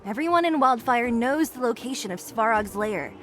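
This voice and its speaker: Tough, lively-pitched young rebel fighter. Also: female voice, moderate pace, a little hiss or hum. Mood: blunt, impatient. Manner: blunt, impatient. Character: brusque, tough young female resistance fighter. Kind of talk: video game dialogue acting.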